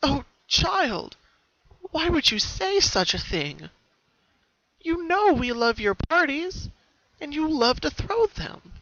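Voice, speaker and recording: A gentle old grandmother pony, acted out by a man for a story reading. The voice is raised high and soft, soothing and solemnly warm, with slow consoling phrases. The recording is dull and muffled. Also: big pitch swings, no room sound, full character voice. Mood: surprised, gentle. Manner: slow, tender, consoling delivery.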